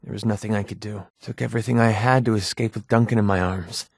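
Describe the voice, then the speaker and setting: An actor playing a guarded, wounded mercenary in a video game, sarcastic and quick-tempered but loyal, speaking in a plain, earnest, edgy way. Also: male voice, on the low side, fairly even intonation, gravelly, breathy edge, no echo, clean studio sound. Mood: grieving, subdued. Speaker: companion character in a video game. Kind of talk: naturalistic video game voice acting.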